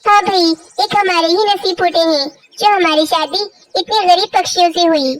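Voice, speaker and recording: Very high squeaky cartoon bird. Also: female voice, normal speed. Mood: envious grumbling. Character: cartoon forest birds, scheming, envious and put-upon, squeaky and childlike. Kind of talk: Hindi children's moral story cartoon dialogue.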